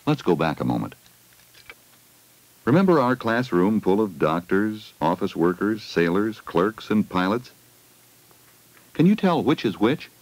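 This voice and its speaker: A man's voice: fairly low pitch, sing-song, measured pace, pauses often, poor audio. A man reading a scripted educational film narration for children, putting simple questions to them, on a 1980 film soundtrack.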